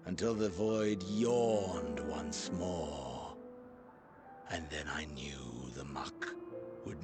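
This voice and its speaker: A man playing a brooding, nihilistic warrior villain who speaks with grave, menacing, drawn-out phrasing in a video game cutscene. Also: a bass voice, full character voice. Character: brooding, nihilistic male warrior villain, bored yet obsessive.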